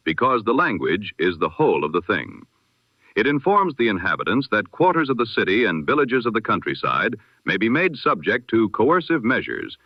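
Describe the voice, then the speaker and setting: Animated low-voiced man reading radio news. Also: dry recording, dull audio. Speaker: news bulletin presenter. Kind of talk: scripted 1920s-style radio news bulletin.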